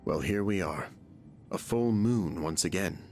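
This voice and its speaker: An actor voicing a composed team strategist in a video game, formal and deliberate. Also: male voice, sits low, over music.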